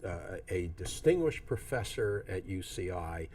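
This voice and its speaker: Low-voiced male TV interviewer. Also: very animated, darkish tone. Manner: halting, frequent restarts and fillers. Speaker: TV talk show host. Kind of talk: studio interview.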